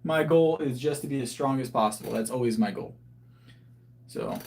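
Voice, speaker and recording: A man's voice: mid-range voice, normal speed, darkish tone. A man in his thirties chatting casually on a livestream, answering viewers and often restarting his sentences while he thinks aloud. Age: 30s. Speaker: livestreaming powerlifter. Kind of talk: casual livestream chat reading viewer comments.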